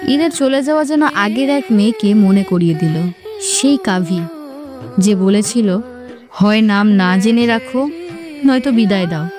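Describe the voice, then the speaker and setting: A woman's voice: medium pitch, a little slow. A woman narrator reading a scripted romantic story with sentimental, literary phrasing. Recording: music clearly behind the voice.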